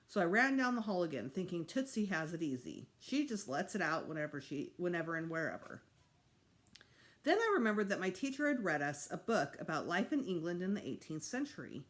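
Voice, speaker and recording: A woman teacher giving an expressive read-aloud of a children's story, with emphatic delivery. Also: fairly low pitch. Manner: animated, acts out dialogue with emphasis. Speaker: teacher reading a children's novel to students.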